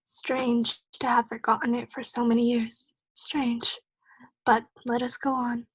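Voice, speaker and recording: A woman playing a calm, otherworldly guiding spirit in a radio play, speaking in a breathy half-whisper. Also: on the high side, background noise, close to an everyday voice. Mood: gently probing. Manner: slow, solemn guiding.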